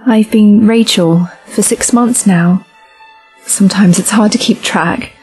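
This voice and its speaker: A woman's voice: medium pitch, unhurried, darkish tone, slightly rough, soft background music, rough recording. A woman playing an uncertain, defensive young companion in a science-fiction audio drama, slightly hesitant and stammering. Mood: pensive.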